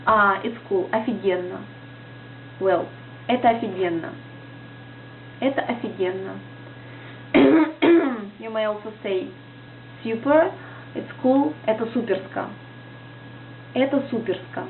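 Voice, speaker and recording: A woman's voice: a little high, takes it slowly, pauses often, a little hiss or hum. Russian woman teaching, tinny audio. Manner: hesitant, searches for words, many restarts. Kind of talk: casual vlog lesson. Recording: tinny lo-fi audio with music behind.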